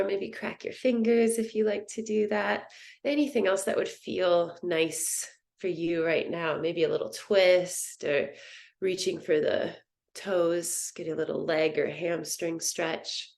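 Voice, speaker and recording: Gentle low-voiced woman guiding meditation. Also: steady tone, creaky. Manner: soothing, gentle, unhurried instruction. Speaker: meditation teacher. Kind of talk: guided yoga nidra meditation.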